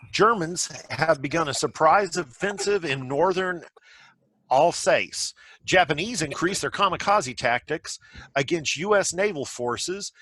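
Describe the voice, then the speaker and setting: Man acting bright 1940s radio newsreader. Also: a little high, full character voice. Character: brisk 1940s male radio news announcer. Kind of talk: amateur table read of scripted news. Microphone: video call.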